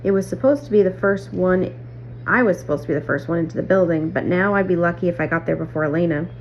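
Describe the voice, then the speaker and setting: A woman reading a children's novel aloud in a measured, careful storytelling manner. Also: on the low side, a little mellow. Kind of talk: read-aloud story narration. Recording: muffled audio, clear background hum.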